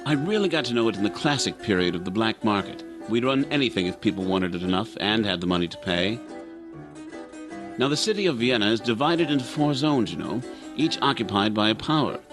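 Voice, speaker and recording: An actor playing a worldly, chatty narrator in a radio drama, wry, rambling and conversational. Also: male voice, on the low side, lively intonation, fairly quick, lightly acted. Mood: wry, casual. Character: worldly, chatty British narrator of post-war Vienna. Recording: music playing under the voice.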